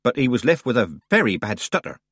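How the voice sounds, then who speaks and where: A man's voice: mid-range voice, very animated, brisk, a bit bright, dry recording, studio quality. A man voicing a jolly old witch woman, chirpy and teasing.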